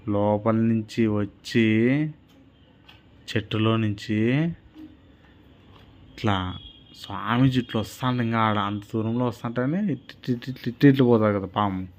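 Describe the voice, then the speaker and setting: A man with a fairly low voice tells a children's story in colloquial Telugu with a Rayalaseema accent. He talks simply and conversationally, repeating himself for the child. The home recording sounds a little dull. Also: fairly even intonation, slow pace, long pauses. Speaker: storyteller telling a child a moral tale. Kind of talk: informal bedtime-style oral storytelling.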